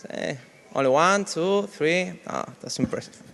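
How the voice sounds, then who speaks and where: Spanish guy giving a conference talk, kinda high voice, lots of jokes and stumbles, echoey hall. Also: vocal fry, fairly bright tone. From Spain. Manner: joking, halting, with many restarts. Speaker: conference speaker. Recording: reverberant hall sound.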